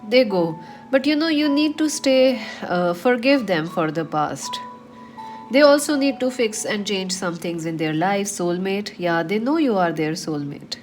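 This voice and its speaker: A woman with a cold and a slightly nasal voice, giving a chatty tarot reading to her viewers with many fillers. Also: a little high. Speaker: tarot reader.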